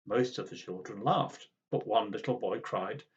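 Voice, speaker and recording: A man reading a children's storybook aloud in an expressive, dramatic way. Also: fairly low pitch, normal speed, lo-fi sound. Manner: animated, dramatic.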